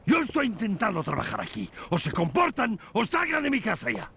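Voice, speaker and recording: A testy cartel boss in a game cutscene. His voice is gravelly and highly expressive, with a dismissive edge, over faint ambience. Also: quick pace, slight background noise, exaggerated voice. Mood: angry. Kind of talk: video game cutscene acting.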